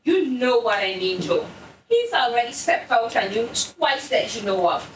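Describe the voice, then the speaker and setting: Very animated high-pitched Caribbean woman, acted. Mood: teasing, frank. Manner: expressive, teasing, drawn-out delivery. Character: playful, outspoken Caribbean girlfriend, teasing storyteller. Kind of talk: stage play acting. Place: indoor stage, mic far from actors. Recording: roomy, distant stage recording with noise.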